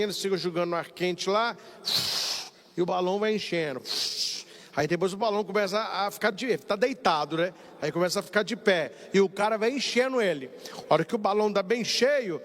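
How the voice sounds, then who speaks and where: High-voiced priest in a reverberant sanctuary. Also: male voice. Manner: animated storytelling, acts out dialogue. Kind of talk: live-broadcast sermon with announcements. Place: large church sanctuary. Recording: long church reverb.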